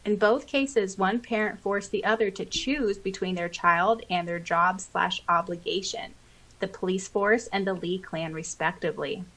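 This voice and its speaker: A woman co-hosting a podcast, reading listener comments aloud with expressive, giggly, chatty reactions. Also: mid-range voice, normal speed. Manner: expressive, giggly, interjecting exclamations. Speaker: podcast co-host.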